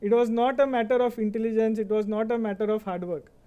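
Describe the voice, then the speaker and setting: Middle-aged Indian man, native Kannada speaker, Indian English accent, highish voice, convocation speaker, chatty motivational tone. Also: little pitch movement, fast, smooth, highs cut off. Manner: conversational, often checks in with "right?". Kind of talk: motivational speech.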